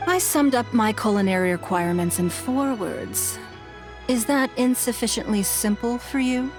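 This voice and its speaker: An actress voicing an elegant, haughty noblewoman in a fantasy video game, refined, measured and dryly condescending. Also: a little high, expressive, over music, lightly acted. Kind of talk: video game character acting.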